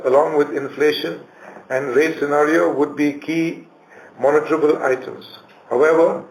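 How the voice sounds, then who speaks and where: A man with an Indian accent giving a prepared corporate presentation as an executive on an earnings call. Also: fairly high pitch. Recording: roomy conference line with faint noise.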